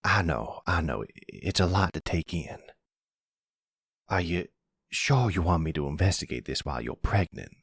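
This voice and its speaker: Man acting a husky, deep-voiced older mafia gentleman. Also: animated, normal speed, dry recording, clean studio sound, full character voice. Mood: concerned, steady. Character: older mafia silver fox, protective, calm grandfather. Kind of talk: ASMR boyfriend roleplay acting.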